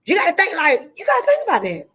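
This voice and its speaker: A woman's voice: extremely high pitch, huge pitch swings, very fast, fairly bright tone. A woman speaking candidly and emphatically, with a preachy, repetitive cadence. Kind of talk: candid testimony-style chat.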